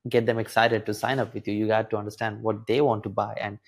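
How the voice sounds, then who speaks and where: A man's voice: on the low side, level tone, quick pace. A young man from India hosting an interview, eager and chatty, with lots of "like" fillers. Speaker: podcast host. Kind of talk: casual interview chat.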